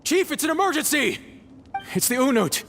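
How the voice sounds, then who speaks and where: A panicked male underling in a video game. His voice is extremely high and swoops urgently, ringing with long reverb. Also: big pitch swings, moderate pace, gravelly, fairly bright tone, exaggerated voice. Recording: long echo, faint music behind.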